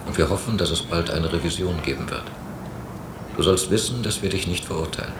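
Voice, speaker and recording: An actor playing a brooding, doubtful traveller in a radio drama, speaking in a measured, reflective way. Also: male voice, sits low, natural voice. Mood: measured, gentle. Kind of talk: radio drama inner monologue and dialogue. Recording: hissy cassette copy of radio drama.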